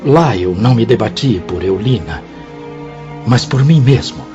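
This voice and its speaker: Guilt-ridden brazilian dead man's spirit in a radio play, low voice, anguished theatrical pleading, music behind. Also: animated, a little slow. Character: tormented, remorseful male suicide's spirit, desperate and pleading. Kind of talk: radio drama acting. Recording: dull lo-fi audio under clear music.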